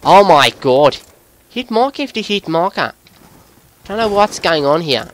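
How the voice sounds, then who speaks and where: High, animated Australian gamer bloke put on by a man. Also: measured pace. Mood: focused, chatty. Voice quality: voice pushed higher by the accent. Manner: excitable, sweary, breaking into giggles at himself. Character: excitable Australian bloke gamer, jokey larrikin. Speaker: gaming video commentator. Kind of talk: live gameplay commentary.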